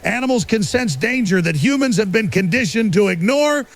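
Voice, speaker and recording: A man acts out a defiant conspiracy broadcaster in a radio drama. His voice is high and creaky, pressing paranoid accusations with urgent emphasis. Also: lively intonation, moderate pace. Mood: urgent, suspicious.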